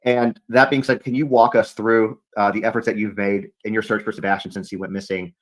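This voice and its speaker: Male livestream host, lowish voice, careful and hedging interviewer. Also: little pitch movement, a little fast. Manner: hedging, careful wording, many restarts. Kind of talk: interviewing a guest.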